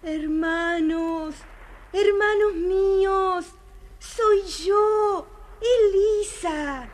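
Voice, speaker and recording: A woman's voice: very high voice, very slow, exaggerated voice. A woman playing a sweet, affectionate teenage princess in an audio fairy tale. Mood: tender wonder. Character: sweet, affectionate fifteen-year-old princess. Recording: noisy vintage children's story recording.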